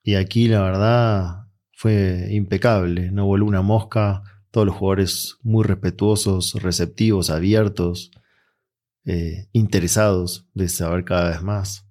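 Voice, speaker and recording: A man with an Argentine accent, a mental coach answering interview questions in a relaxed, reflective way. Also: deep voice, measured pace. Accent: Argentine Spanish. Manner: relaxed, reflective, with frequent fillers.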